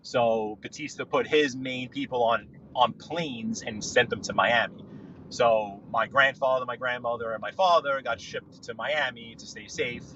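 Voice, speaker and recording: Bright-voiced New man rambling. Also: medium pitch, measured pace, highs cut off, a little hiss or hum. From New York. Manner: rambling, storytelling, frequent restarts. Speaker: livestream guest. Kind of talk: casual live podcast chat while driving. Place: in a car.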